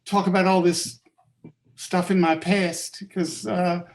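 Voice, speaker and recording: Halting man with a South African accent. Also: sits high, level tone. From Canada. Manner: halting, hesitant, many fillers and restarts. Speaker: workshop leader. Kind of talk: personal account in group discussion.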